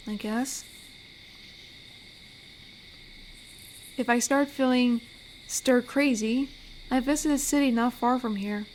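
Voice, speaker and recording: A woman voicing an amiable, rambling werewolf who guards a forest, in an intimate audio roleplay with a chatty, gently awkward manner. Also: high voice, slight background noise, low-quality recording. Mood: gentle, bashful. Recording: night cricket ambience behind voice. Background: crickets and forest night sounds.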